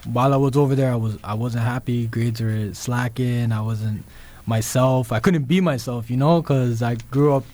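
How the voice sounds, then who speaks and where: Give me a young man with a soft, warm voice, talking haltingly as a podcast guest. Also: medium pitch. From Toronto, Canada. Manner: hesitant, many ums and restarts. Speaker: guest musician. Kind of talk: casual interview chat.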